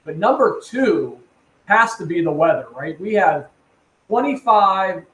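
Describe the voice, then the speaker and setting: A man with a slightly high voice hosting a casual chat show. He rambles along, stumbles over repeated words and keeps tossing in "right?" to his co-host. The room around him is echoey, with a faint hum of ambience behind. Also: lively intonation, measured pace, a bit bright.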